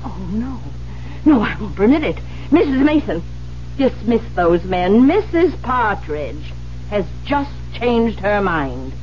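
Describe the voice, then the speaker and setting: An actress playing an embittered, obsessive secretary in a 1970s radio drama, intense, melodramatic and vengeful. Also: female voice, mid-range voice, sing-song, takes it slowly. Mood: defiant, commanding. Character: embittered, obsessive secretary, a menacing adoring spinster. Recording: dull lo-fi 1970s radio broadcast, hum.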